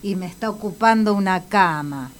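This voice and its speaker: An actress with an Argentine accent playing a stern, sarcastic, insistent hospital doctor in a comic radio drama. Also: female voice, mid-range voice, moderate pace, natural voice. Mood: stern, impatient. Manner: sardonic, insistent.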